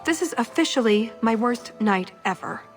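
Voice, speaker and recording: A woman's voice: fairly high pitch, expressive, moderate pace, soft background music, lightly acted. An actress playing a young elf thief in a video game, wry, sarcastic and restless in her delivery. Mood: wry, exasperated.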